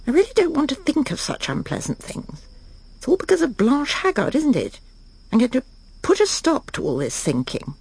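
An actress playing a prim, self-satisfied, controlling middle-aged English wife in a radio drama, brisk and genteel. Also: female voice, a little high, vocal fry, mellow, slightly rough, heavily acted. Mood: uneasy musing. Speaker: lead actress and narrator. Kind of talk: radio drama acting with inner monologue.

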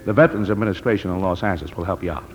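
An actor playing a brisk, genial military sergeant, chatty and matter-of-fact, in 1940s radio drama style. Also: male voice, low voice, a little hiss or hum, natural voice. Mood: businesslike. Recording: muffled 1940s radio broadcast.

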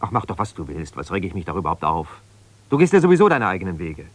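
An actor playing an embittered, ambitious lawyer husband who talks in an argumentative, colloquial way in a naturalistic radio play. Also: male voice, sits low, big pitch swings, fast talker, dry recording. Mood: angry, frustrated. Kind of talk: naturalistic radio play, one side of a phone call.

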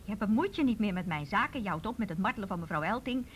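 A woman's voice: mid-range voice, lively intonation, fast, a little hiss or hum, lightly acted. Woman playing a haughty, cutting mother. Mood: scornful. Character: cold, haughty, scornful mother of a teenage daughter. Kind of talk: Dutch radio drama acting.

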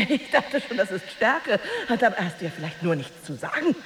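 A woman's voice: medium pitch, big pitch swings, normal speed, noisy background. An actress in a radio drama playing a jealous, bitter married woman, a taunting and volatile rival delivering a theatrical monologue. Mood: triumphant scorn. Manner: emotionally volatile, theatrical, swinging between hushed and outburst.

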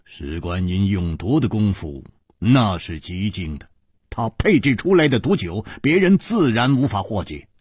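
A man voicing a calm, shrewd hero in a wuxia audiobook, reasoning deliberately with pointed amusement. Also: lowish voice, slightly rough, no room sound, clean studio sound, full character voice. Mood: composed, probing.

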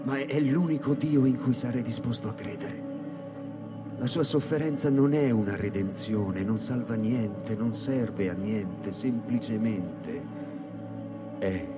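An actor playing an anguished, feverish space-station scientist in a radio drama, stammering repetitions and swelling into theatrical declamation. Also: male voice, on the low side, unhurried, close to an everyday voice. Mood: brooding. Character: Kris, anguished, feverish male space-station scientist musing on an imperfect God. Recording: echoey hall reverb under clear music. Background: live electronic and flute music.

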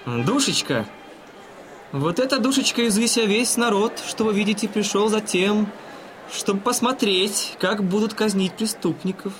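An actor playing a smug, patronizing gentleman who explains things in a mannered way in an audio drama. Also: high-pitched, animated, normal speed, soft background music. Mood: condescending, explaining. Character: smug, condescending gentleman spectator courting a young lady.

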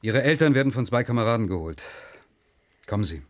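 An actor playing a sober, principled member of a hidden group in a German radio play, earnest and restrained. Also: male voice, medium pitch, lively intonation, fairly quick, close to an everyday voice. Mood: subdued.

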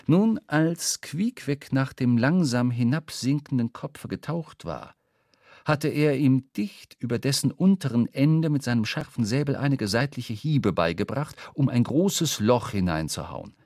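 A man narrating a literary radio drama as a storyteller, expressive and dramatic. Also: medium pitch, measured pace, no room sound, studio quality. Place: dry studio.

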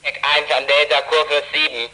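An actor playing an evasive, lisping radio pirate, heard through a CB radio in an audio drama. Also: male voice, on the high side, level tone, fairly quick, sharp tone. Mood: curt, evasive. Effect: CB radio filter. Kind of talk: 1980s German children's audio drama acting. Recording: crackly CB radio transmission.

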